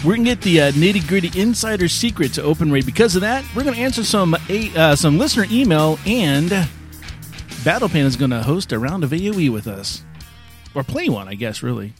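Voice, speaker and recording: A man's voice: on the high side, expressive, a little fast, soft background music. A man co-hosting a podcast in a casual, chatty interview style. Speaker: podcast co-host.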